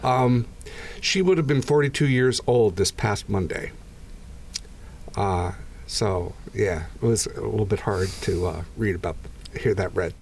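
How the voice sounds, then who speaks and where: Man in his seventies, lowish voice. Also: a little slow, creaky, a little mellow, a little hiss or hum. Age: 70s. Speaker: conversation participant. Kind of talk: personal recorded conversation.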